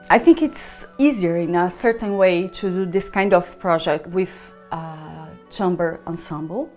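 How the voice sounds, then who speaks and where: Woman conductor, Italian-Brazilian accent, low-pitched, reflective interview delivery, faint music behind. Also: darkish tone. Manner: thoughtful, searching for words, hums rhythms. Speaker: orchestra conductor. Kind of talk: promotional interview talk.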